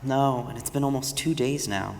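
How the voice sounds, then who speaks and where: A woman playing a weary, caring grown child with a dry, low-key manner in a staged reading. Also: normal speed, vocal fry, darkish tone, slight background noise. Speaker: stage actor. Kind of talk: musical theatre dialogue, staged reading. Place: school auditorium stage. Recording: echoey hall with long reverb.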